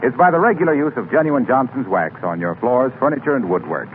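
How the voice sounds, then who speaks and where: A man announcing a radio commercial in a polished, measured 1940s sales style. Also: medium pitch, expressive, phone-quality sound. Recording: thin 1940s radio with faint hiss.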